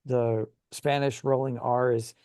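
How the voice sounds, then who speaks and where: A man's voice: mid-range voice, flat delivery, a little slow, a little mellow, smooth, no echo, studio quality. A man co-hosting a gaming show, talking casually and enthusiastically as he thinks aloud. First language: English. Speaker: co-host of a tabletop gaming show. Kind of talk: casual conversational chat.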